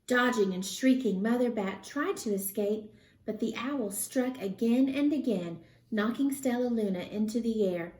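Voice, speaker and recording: Female storybook reader: unhurried, expressive read-aloud delivery for young children, recorded with audible room echo. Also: fairly high pitch. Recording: roomy, echoey sound.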